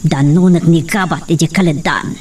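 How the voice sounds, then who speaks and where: A woman's voice: low voice, steady tone, moderate pace, a little mellow, close to an everyday voice. A woman with an Ilocano accent playing a stern, commanding, wealthy middle-aged mother in an audio drama, imperious and scolding. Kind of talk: radio-style Ilocano drama acting. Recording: distant mic, faint noise, crickets behind.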